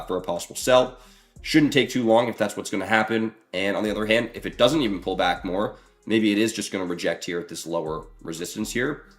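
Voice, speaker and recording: A young man with a hoarse, roughening voice, casually talking to his live stream chat with lots of fillers. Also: deep voice. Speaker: trading streamer.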